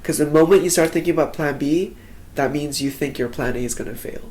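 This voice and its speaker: A man's voice: fairly high pitch, brisk, over loud music. A young man from Hong Kong, a singer-songwriter, talking casually in a documentary interview with lots of "like" fillers. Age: young adult. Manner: casual, relaxed, heavy use of "like" fillers.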